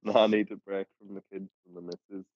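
Brief: deep-voiced man, hesitant and chuckling, casual sports chat, muffled call audio. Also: level tone, quick pace, no echo. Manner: hesitant, many ums and chuckles. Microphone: online call. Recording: dull, dry online call audio.

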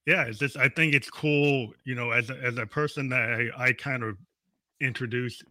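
A man's voice: medium pitch, steady tone, normal speed, sharp tone, echoey room. A man in his fifties hosting an interview, rambling casually with many restarts. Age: 50s. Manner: rambling, restarts often, laughs easily. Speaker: radio show host.

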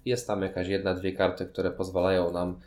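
A man's voice: sits low, completely flat delivery, moderate pace, darkish tone. A man talking as a podcast host, reviewing board games conversationally in long, explanatory sentences.

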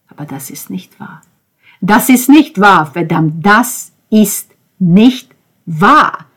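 Woman voicing a grieving young man, audiobook monologue, husky tone, lowish pitch, raw and bitter, hushed whispers and sudden outbursts. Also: exaggerated voice. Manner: intense, veering between hushed whispers and outbursts. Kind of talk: dramatic audiobook reading.

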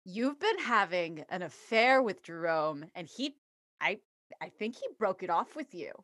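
A woman playing an earnest, excitable magician turned sleuth in improvised comedy, stumbling over her words. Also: on the low side, measured pace, dry recording, exaggerated voice. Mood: accusing. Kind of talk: improvised comedy character acting.

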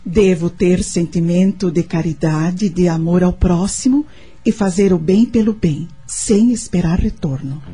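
An actress with a Brazilian accent playing a gentle, loving wife and mother in a radio soap opera, tender in manner. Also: on the low side, unhurried, lightly acted. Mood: reflective reading. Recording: music under the voice.

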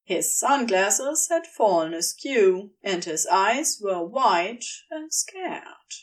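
A woman's voice: medium pitch, big pitch swings, slow, recorded from a distance. A woman reading fiction aloud as a scripted story narrator. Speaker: audiobook narrator.

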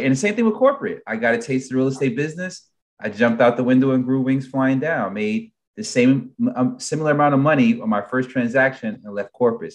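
A man hosting an interview podcast, chatting in an upbeat, conversational way. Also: medium pitch. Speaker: podcast host.

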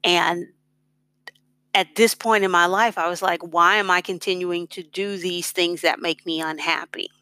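Unhurried middle-aged American woman, lower voice. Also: steady tone, sharp tone, no echo. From United States. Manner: reflective, conversational. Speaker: blogger on expat life and personal finance. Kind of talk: casual personal storytelling.

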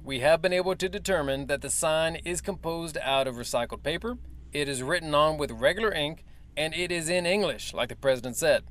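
An actor playing a male military major who briefs the press in a formal, measured and evasive way. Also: on the high side, fairly bright tone, natural voice. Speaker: official spokesman. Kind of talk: audio drama acting.

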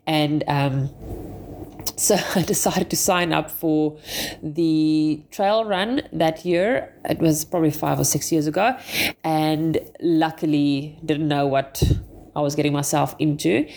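A woman's voice: low-pitched, darkish tone. A South African woman in her thirties with a blocked, stuffy nose, chatting in a meandering, self-correcting way as a podcast host. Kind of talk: casual personal monologue.

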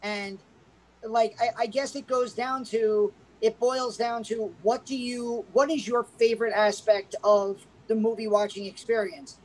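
A man's voice: high voice, fairly even intonation, measured pace, rough recording. A man co-hosting a casual movie review podcast, talking in a rambling way with lots of restarts. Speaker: podcast co-host.

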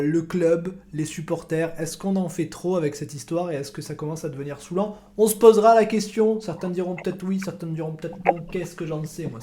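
Rambling podcast host, upbeat. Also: male voice, on the high side, warm tone. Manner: rambling, repeats words, jokey. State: recovering from illness. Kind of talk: casual chat.

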